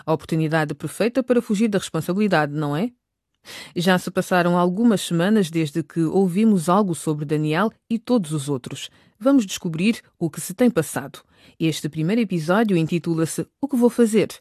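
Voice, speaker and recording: A woman's voice: on the low side, normal speed, a little mellow, no room sound, studio quality. A woman narrating a radio drama in a scripted storytelling style.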